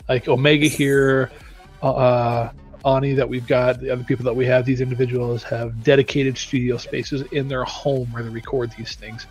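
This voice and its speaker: Man, mid-pitched, emphatic and informal, advising viewers on a live stream with faint music underneath. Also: little pitch movement, moderate pace. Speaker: game audio lead on a live stream.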